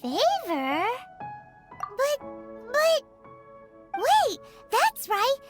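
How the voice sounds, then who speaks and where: A woman voicing a tiny, cheerful, excitable fairy companion in a video game, with exclamatory childlike delivery. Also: very high-pitched, drawn-out words, soft background music, exaggerated voice. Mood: flustered. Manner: speaks of self in the third person, exclamatory.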